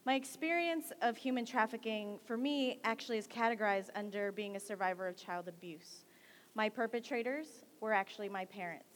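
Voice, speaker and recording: A young woman from California giving an earnest, measured talk as a guest speaker at a campus assembly. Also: mid-range voice, slight background noise. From Central Valley, California, United States. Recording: long hall reverb.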